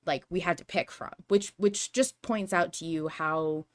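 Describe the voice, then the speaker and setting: A woman hosting a casual fan discussion podcast, talking in an animated, rambling, emphatic way. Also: on the low side, unhurried. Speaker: podcast host.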